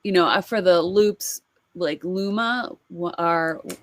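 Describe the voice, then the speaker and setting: A woman's voice: on the low side, takes it slowly, slight background noise. A woman co-hosting a podcast, chatting casually and in a friendly way as she introduces videos, laughing easily. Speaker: podcast co-host. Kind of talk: casual chat reacting to videos.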